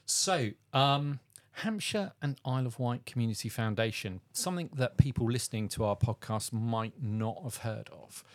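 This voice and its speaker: A man's voice: medium pitch, animated, normal speed. A man hosting a podcast interview, friendly, with hesitant fillers. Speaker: podcast host.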